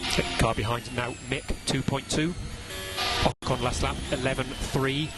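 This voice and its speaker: A man's voice: mid-range voice, steady tone, moderate pace. Male race engineer, matter-of-fact and terse, radio sound with prominent music underneath. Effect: team radio. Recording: team radio with loud background sound.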